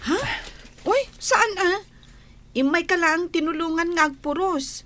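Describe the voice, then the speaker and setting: A woman acting a village woman in a radio comedy drama, speaking slowly and expressively. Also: very high voice, bright, forceful tone, a little hiss or hum, heavily acted. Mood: friendly, chatty.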